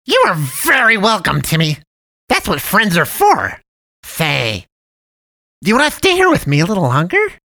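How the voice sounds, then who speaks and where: A jolly, chubby storybook cat man, purring in a folksy drawl. His voice leaps high and loud and swoops all over as he coaxes and charms. The recording is dry and close. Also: huge pitch swings, moderate pace, no room sound, exaggerated voice. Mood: friendly, jolly. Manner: sweetly cajoling, theatrical.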